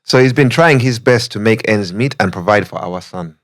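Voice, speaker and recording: A man's voice: fairly low pitch, normal speed. A man hosting a podcast, chatting casually and joking, then reading out a listener's letter. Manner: relaxed, jokey, laughs easily. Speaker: podcast host.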